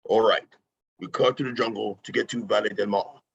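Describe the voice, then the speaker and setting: A gruff, irritable mercenary leader from an action comedy, acted by a man at a table read. His voice is low and husky, curt and sarcastic, on a slightly dull recording. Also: steady tone, fairly quick. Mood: commanding. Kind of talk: amateur script table read.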